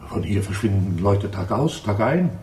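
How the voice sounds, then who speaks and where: An actor playing a theatrical, pompous and evasive lodging-house caretaker in a 1960s German radio crime drama. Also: male voice, fairly low pitch, big pitch swings, normal speed, a little mellow, husky, full character voice. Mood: suspicious, officious. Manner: florid, grandiose, evasive. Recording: 1960s studio radio play.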